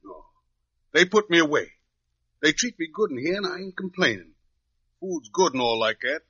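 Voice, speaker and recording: An actor playing a wrongly jailed young drifter in a radio drama, with plain folksy, uneducated speech, pleading and resigned. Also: male voice, a little high, very animated, moderate pace, sharp tone, slightly rough, dry recording, heavily acted. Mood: bitter, resigned. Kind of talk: 1950s radio drama acting. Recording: dull lo-fi old radio recording.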